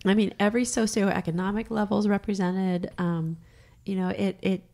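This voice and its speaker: A middle-aged woman co-hosting a podcast in casual chat, with a raspy, slightly hoarse voice, frank and quick to laugh. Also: mid-range voice, steady tone, warm tone. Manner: chatty, frank, laughs easily. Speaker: podcast co-host.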